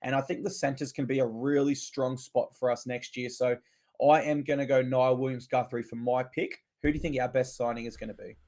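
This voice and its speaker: A hoarse man, his voice still straining after losing it, hosts a rugby league podcast. He steers the conversation and reels off player stats in a plain, mid-pitched voice. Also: steady tone, fairly quick, darkish tone. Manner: leads the show, quotes stats, steers topics. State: hoarse, recovering from lost voice. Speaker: podcast host. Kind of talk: casual sports podcast chat.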